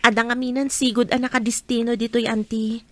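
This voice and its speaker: A woman's voice: on the high side, level tone, a little fast, no room sound, lightly acted. A woman playing a caring young village health worker in a radio drama. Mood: friendly, wistful.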